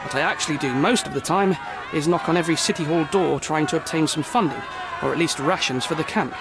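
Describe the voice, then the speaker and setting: An actor playing a harried, defensive city hall official in a video game, speaking formally and precisely. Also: male voice, fairly high pitch, fast talker, crowd noise, background noise, close to an everyday voice. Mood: resigned, explanatory. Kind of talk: video game cutscene acting.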